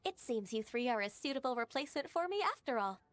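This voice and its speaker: A gentle, ageless secretary of half-divine blood, played by a woman. Her voice is soft, polite and composed, sitting a touch high. Game music plays steadily behind her. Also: expressive, quick pace, close to an everyday voice. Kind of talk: game cutscene dialogue. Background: game background music.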